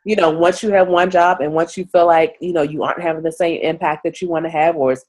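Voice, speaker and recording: A woman journalist talks through an interview in a loose, conversational way, full of fillers. She is fighting a cold, so she sounds stuffy and keeps clearing her throat. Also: fairly low pitch, fairly even intonation, quick pace, darkish tone. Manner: chatty, many "like" and "you know" fillers. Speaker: journalist guest.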